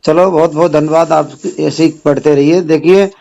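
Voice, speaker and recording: A man's voice: on the high side, fairly even intonation, moderate pace, warm tone. A man in a phone conversation, speaking formally and admonishingly as an activist, repeating phrases for emphasis. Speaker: religious organisation activist. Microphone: phone call. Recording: phone call recording with clear noise.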